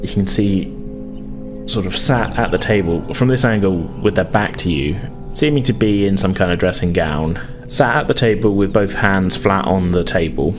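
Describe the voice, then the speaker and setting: Low-pitched man running a game. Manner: hedging, conversational, explanatory. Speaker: game master. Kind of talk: improvised narration of a tabletop game.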